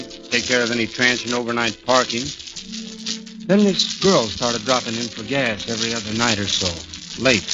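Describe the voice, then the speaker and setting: A man's voice: medium pitch, close to an everyday voice. Man acting a haunted condemned man, old radio thriller. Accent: American. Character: haunted, bitter condemned man telling his story, shy night garage man. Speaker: actor narrating and playing the lead. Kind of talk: 1940s radio thriller acting, first-person narration. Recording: dull 1940s radio recording, loud music behind.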